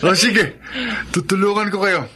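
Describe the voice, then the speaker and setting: An actor playing a gentle, devout middle-aged father in a radio drama, earnest and reassuring, with a Filipino accent. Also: sits high, unhurried. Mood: pleased. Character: gentle, devout seafarer father, grateful survivor. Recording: noisy ambience behind.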